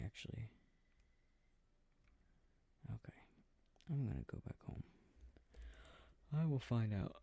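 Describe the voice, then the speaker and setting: Hushed, husky boyfriend muttering while gaming acted by a man. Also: lowish voice, dry recording, heavily acted. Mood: startled, uneasy, then relaxed, sleepy. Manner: hushed, rambling self-talk, often drifting into whispers. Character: affectionate, easily startled boyfriend gaming beside sleeping partner. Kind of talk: intimate sleep-aid roleplay, muttering game commentary.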